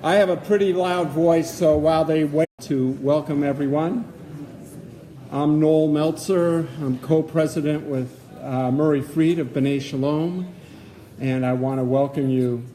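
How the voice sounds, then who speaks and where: A man's voice: a little high, fairly even intonation, unhurried, warm tone. A man, co-president of a synagogue, giving loose, conversational welcoming remarks in a hall.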